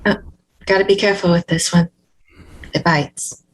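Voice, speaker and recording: A woman's voice: on the low side, fairly even intonation, normal speed, slight background noise, close to an everyday voice, rough recording. A woman playing a guarded, uneasy heiress in a read-through of a horror film script. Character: guarded, uneasy woman heir showing off a family secret.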